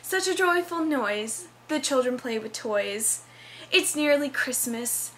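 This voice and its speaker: A woman's voice: sits high, animated, slow pace, fairly bright tone, slight background noise, exaggerated voice. A young woman playing an earnest, over-dramatic narrator, declaiming verse from a Christmas stage show. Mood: grandly festive. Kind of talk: dramatic reading.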